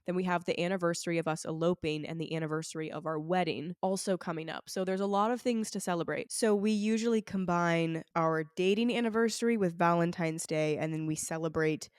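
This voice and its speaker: A woman podcast host, sick with a raw, hoarse throat, chatting candidly and with self-deprecating humor from home. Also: lowish voice, a little fast, few pauses, dry recording, studio quality. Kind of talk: casual solo podcast chat. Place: at home, not in a studio.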